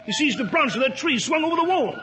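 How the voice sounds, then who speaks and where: Casting: flustered, blustering radio-drama sidekick, high-pitched and very bright, faintly noisy 1940s recording. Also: male voice, big pitch swings, fast, heavily acted. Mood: alarmed. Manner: blustering, hesitant, easily startled.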